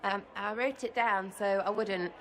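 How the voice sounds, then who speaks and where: A woman's voice: mid-range voice, steady tone, a little slow, a bit bright, natural voice, low-quality recording. An actress playing a cheeky, scrappy young woman rogue in a video game, speaking bluntly and slangily in rambling sentences. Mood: nervous, earnest.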